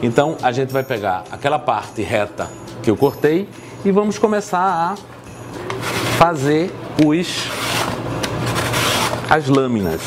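A man's voice: mid-range voice, lively intonation, slow pace, long pauses. Talkative chef man, music behind. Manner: talkative, chatty, explains step by step. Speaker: chef and TV presenter. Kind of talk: interview then cooking demonstration. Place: small restaurant dining room, then kitchen. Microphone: lapel.

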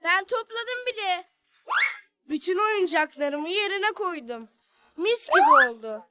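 Cartoon big brother: young boy, very high-pitched, sensible, helpful, explains simply. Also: very animated, slow, bright, forceful tone, full character voice. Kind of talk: children's cartoon dialogue.

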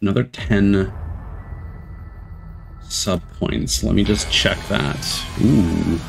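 A man's voice: on the low side, very slow, vocal fry. A man giving casual live-stream reaction commentary in a sardonic way, with some swearing. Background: game showcase audio and music.

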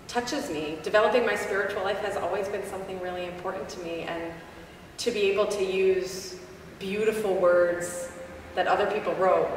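A woman's voice: mid-range voice, slight background noise. A woman in her thirties, an opera singer, talking thoughtfully and candidly about her work in a church sanctuary, filmed from a distance. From Boston. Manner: thoughtful, candid, self-deprecating. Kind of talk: reflective interview. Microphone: camera at a distance. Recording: echoey church heard from a distance.

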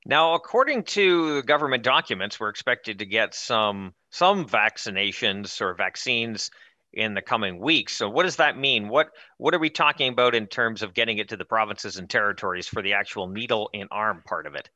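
Energetic male talk-show host, bright voice. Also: lowish voice, moderate pace, no echo. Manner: energetic, emphatic, gestures while talking. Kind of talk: political talk show hosting. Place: studio with branded backdrop, indoors. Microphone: headset.

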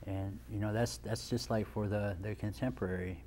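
A man's voice: fairly low pitch, steady tone, moderate pace, mellow, a little hiss or hum. A man in his thirties from Nebraska, a dance instructor and guest on a studio talk show, talking casually with lots of restarts and "you know" into a lapel mic. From Nebraska, USA. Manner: easygoing, rambling with many restarts and "you know". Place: TV studio set, indoors.

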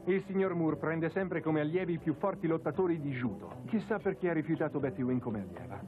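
A man's voice: fairly high pitch, animated, fairly quick, natural voice. An actor playing an earnest, touchy young male journalist in a cartoon dub. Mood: indignant. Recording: muffled narrow-band sound with music.

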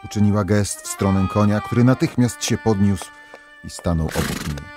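A man narrating a legend as a scripted audio tale, in a measured, dramatic storytelling manner. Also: fairly low pitch, over music. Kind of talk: scripted fairy-tale narration with musical backing.

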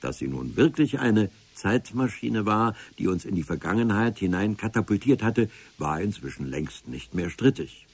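An actor narrating as a ministry official keeping the record, measured and ironic, in a radio play with a husky voice. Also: male voice, fairly low pitch, sing-song, full character voice.

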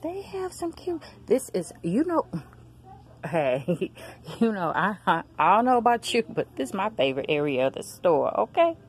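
A woman, filming a casual shopping vlog on a handheld phone, chatting excitedly to her viewers. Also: mid-range voice, moderate pace, slight background noise. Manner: chatty, excited exclamations, addresses viewers as y'all and girl. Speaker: vlogger. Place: large discount store aisles, indoors. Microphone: handheld phone camera.

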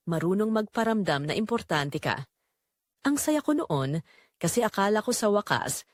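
A woman playing a betrayed young wife in an audio drama, narrating her heartbreak in earnest, measured, confessional phrases. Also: mid-range voice, dry recording, clean studio sound, close to an everyday voice. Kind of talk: scripted first-person dramatic narration.

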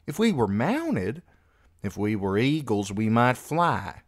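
Solemn boy beyond his years, read by a man, cautious, measured and slow, for a storybook. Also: fairly low pitch, lively intonation, no echo, clean studio sound. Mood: sharp. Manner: grave, measured, foreboding.

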